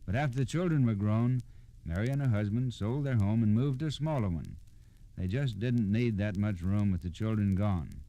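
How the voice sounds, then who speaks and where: A man's voice: on the low side, normal speed, mellow, dry recording. An American man narrating from a script as an old-time radio storyteller, in a plain, explanatory way.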